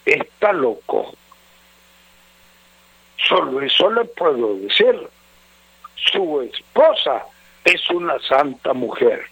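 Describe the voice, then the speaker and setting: Slow, theatrical man on radio advice show. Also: on the high side, very animated, gravelly, fairly bright tone, husky, dry recording, dull audio. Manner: emphatic, dramatic delivery in short, drawn-out phrases. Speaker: astrologer and advice host answering listeners' letters. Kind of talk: radio advice show, reading letters aloud and answering.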